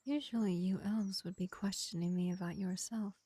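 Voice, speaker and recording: A woman playing a gentle, ancient pacifist vampire in an intimate fantasy roleplay, speaking in an unhurried, soothing half-whisper. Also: medium pitch, fairly even intonation, husky, no room sound, lightly acted. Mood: soft, confiding. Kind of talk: slow intimate ASMR-style fantasy roleplay.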